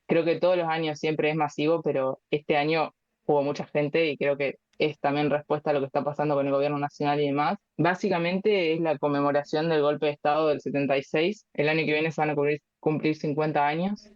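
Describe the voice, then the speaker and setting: A woman in her twenties with an Argentine Spanish accent, a guest speaking casually and thoughtfully, with many pauses and fillers. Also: low-pitched, flat delivery, a little mellow, no room sound, lo-fi sound. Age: 20s. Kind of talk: casual interview chat.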